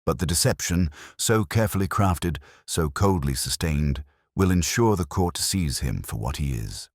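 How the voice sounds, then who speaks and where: Man acting out cool gentleman detective, precise, solemn, husky, expressive intonation, Victorian audiobook. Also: low voice, normal speed, no echo, clean studio sound. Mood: coolly judging.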